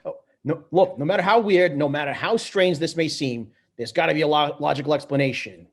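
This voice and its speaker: A man reading the part of a scrappy, bossy plumber brother from a movie script, with a husky voice. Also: a little high, brisk. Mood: bewildered.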